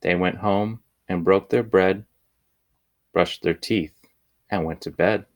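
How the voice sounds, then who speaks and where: Deep voiced guy reading a kids picture book out loud, really slow n deliberate. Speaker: storybook reader. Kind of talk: children's picture book read-aloud.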